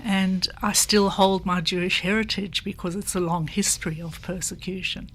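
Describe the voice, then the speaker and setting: An older woman who grew up in South Africa, a panel guest reflecting in a measured way with pauses. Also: medium pitch, level tone, fairly bright tone, rough recording. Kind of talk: personal testimony in a panel discussion.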